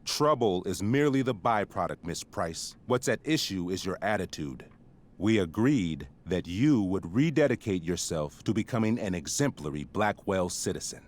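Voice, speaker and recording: A stern middle-aged school principal with a lowish voice. He speaks in formal, pompous, wordy sentences, handing down judgment as in a dramatic video game scene. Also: expressive, normal speed.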